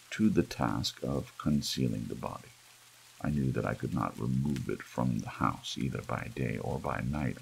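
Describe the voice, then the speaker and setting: A man with a gravelly, husky voice reads a horror story aloud in a theatrical, brooding ASMR audiobook style, with emphatic swells. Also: extremely low pitch, rough recording. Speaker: audiobook reader. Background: soft rain.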